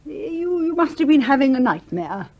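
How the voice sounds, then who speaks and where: An actress in a radio play playing a bossy, self-pitying sister-in-law, patronising, fretful and easily affronted. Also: female voice, high voice, very animated, normal speed, dull audio. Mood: patronising concern. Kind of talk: theatrical radio play acting.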